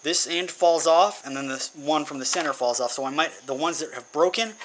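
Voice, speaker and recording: A man's voice: on the high side, fairly quick, faint music behind. A man giving a casual how-to demonstration, informally thinking aloud as he works. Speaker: hobbyist presenting an electronics build. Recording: noisy amateur recording with insect hum.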